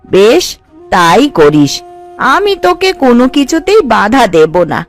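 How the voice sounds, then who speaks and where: A woman playing a gentle, protective middle-aged mother in a cartoon, consoling and advising. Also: fairly high pitch, huge pitch swings, a little slow, heavily acted. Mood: resigned. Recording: light background music.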